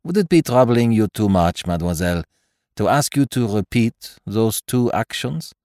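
A man's voice: fairly low pitch, lively intonation, moderate pace, a little mellow, dry recording, clean studio sound, pitched above the performer's own voice. A man voicing a courteous, precise middle-aged detective.

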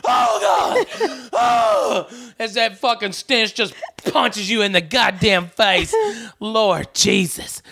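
A woman hosts a podcast with a bright, forceful, brassy voice. She swears constantly and spins wild exaggerations, laughing as she goes. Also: fairly high pitch, very animated, slow, vocal fry, slightly rough. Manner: profane, brassy, exaggerating, laughing through lines. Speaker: podcast host. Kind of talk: crude comic riffing.

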